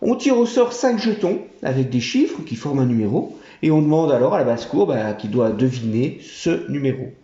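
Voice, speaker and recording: A man's voice: mid-range voice, very animated, moderate pace, hardly any pauses, dark tone. A man voicing a fawning, chattering male monkey general who collects taxes. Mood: eager. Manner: obsequious, chattering. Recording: roomy, dull home recording.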